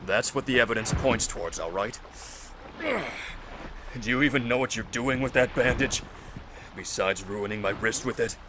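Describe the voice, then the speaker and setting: A man's voice: medium pitch, moderate pace, noisy background, close to an everyday voice. A man playing a stern, impatient investigator in an audio drama, insistent and formal as he lays out his case. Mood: exasperated, probing. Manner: insistent, exasperated, formal explanatory phrasing. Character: stern, impatient male investigator with an injured wrist. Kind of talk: amateur audio drama acting.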